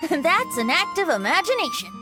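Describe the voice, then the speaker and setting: A woman's voice: very high voice, big pitch swings, measured pace, music in the background, heavily acted, poor audio. A woman voicing a youthful, playful boy bard in a video game, theatrical and teasing. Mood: playful, amused.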